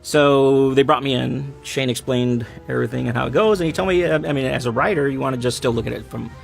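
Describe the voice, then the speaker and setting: A man's voice: mid-range voice, fast. A man chatting casually as a writer in a behind-the-scenes interview, speaking off the cuff. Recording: clear background music under the voice.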